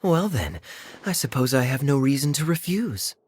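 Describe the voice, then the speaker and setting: An actor voicing a suave, wry adult knight in a video game. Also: male voice, medium pitch, sing-song, moderate pace, a little mellow, husky.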